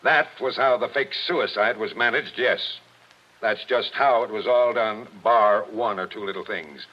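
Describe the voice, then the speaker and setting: An actor playing a blunt, impatient police captain in a 1940s radio mystery. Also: male voice, on the low side. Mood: tough. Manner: blunt, pushy, brisk. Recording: dull old radio broadcast.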